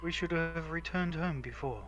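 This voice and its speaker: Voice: medium pitch, moderate pace, fairly bright tone, muffled recording. A giggly, playful game streamer who switches between casual chat and dramatic read-aloud narration, with game music behind. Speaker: streamer reading a visual novel.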